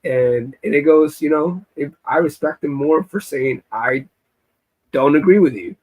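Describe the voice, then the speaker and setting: A man guesting on a live-streamed video chat, telling animated stories and laughing often. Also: a little high, a little slow, rough recording. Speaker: musician guest.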